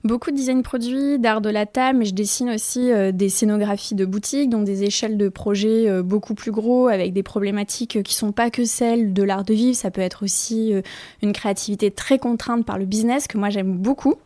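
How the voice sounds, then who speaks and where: Guest, woman from the Basque coast, chatty and lively, dry close sound, small room. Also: mid-range voice, brisk, no echo. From French Basque coast. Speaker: guest, independent designer. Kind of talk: casual conversation. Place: small apartment indoors.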